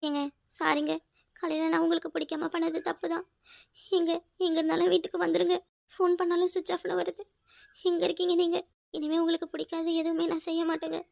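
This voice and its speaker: A woman's voice: extremely high pitch, steady tone, quick pace, dull audio. A woman playing an emotional, stubborn young wife in a domestic drama, pleading and pouting in a plaintive, insistent way. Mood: tearful pleading. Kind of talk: domestic serial drama acting.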